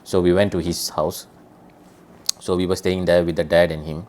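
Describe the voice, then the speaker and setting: A man's voice: low voice, little pitch movement, normal speed, darkish tone. A man from Malaysia telling travel stories in a casual chat, rambling with many restarts.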